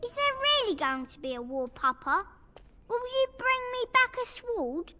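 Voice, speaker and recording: An eager, innocent little boy from a video game. The voice is very high and bright, with lively swings in pitch. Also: moderate pace, full character voice. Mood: eager, curious.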